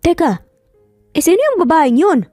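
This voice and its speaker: Filipino lady doing a stern young groom, short-tempered and demanding, soft mellow tone, romance story. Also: very high-pitched, very animated, moderate pace, no echo, clean studio sound, faint music behind, voice pushed up. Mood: hard, confused. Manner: hard, demanding questioning.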